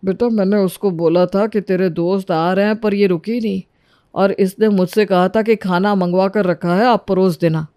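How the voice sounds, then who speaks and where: Meek old father-in-law played by a woman, mid-pitched, gentle and placating, pleading, for a dramatised moral story. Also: fairly even intonation, normal speed, dry recording, studio quality, close to an everyday voice.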